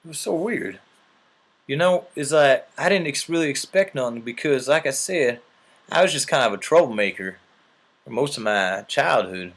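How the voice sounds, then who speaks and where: A man's voice: mid-range voice, lively intonation, normal speed. A man telling a long mock-serious story in an earnest, rambling way, often checking in with "right?".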